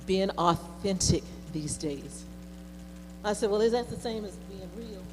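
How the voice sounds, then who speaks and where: Woman moderator, unhurried deliberate phrasing, reflective address, big reverberant room with hum. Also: medium pitch. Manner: deliberate, broken into short phrases. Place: large reverberant hall at a conference. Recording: noisy reverberant hall with hum.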